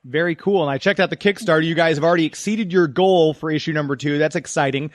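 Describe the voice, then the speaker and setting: A man's voice: fairly high pitch, quick pace, dry recording. A man hosting an upbeat radio interview, talking with a guest as the show's presenter. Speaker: radio show host and interviewer.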